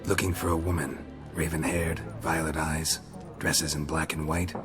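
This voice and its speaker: A grim, terse monster hunter. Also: male voice, extremely low pitch, flat delivery, moderate pace. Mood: curt. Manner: terse, dry, blunt. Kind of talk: video game cutscene acting. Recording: game audio with music behind.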